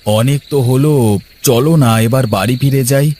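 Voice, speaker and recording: A man voicing a gentle, regretful married elder brother in a cartoon, sounding troubled. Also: mid-range voice, normal speed, a little hiss or hum, natural voice. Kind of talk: Bengali cartoon dialogue.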